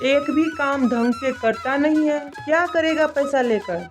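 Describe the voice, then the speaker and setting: A woman playing a domineering, bad-tempered merchant's wife in an animated moral story, scolding and haughty. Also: sits high, measured pace, music in the background. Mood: scolding, bossy.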